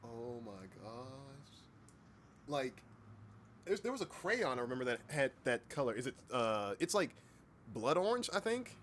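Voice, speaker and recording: A man's voice: medium pitch, sing-song, measured pace, a bit bright, slight background noise. A man hosting a casual livestream chat, speaking informally, with repeated words and occasional swearing. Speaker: livestream host and hobby voice actor.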